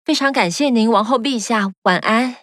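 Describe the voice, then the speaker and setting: A woman voicing a polite, kind young princess in a children's cartoon, soft-spoken and grateful. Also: on the high side, moderate pace, no echo, studio quality, natural voice. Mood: gentle. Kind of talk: cartoon dubbing.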